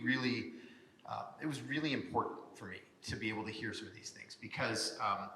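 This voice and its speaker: American man, forceful bright tone, informal civic presenter, halting delivery, roomy echo. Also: mid-range voice. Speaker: city mayor presenting. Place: presentation hall before an audience. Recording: echoey hall, long reverb.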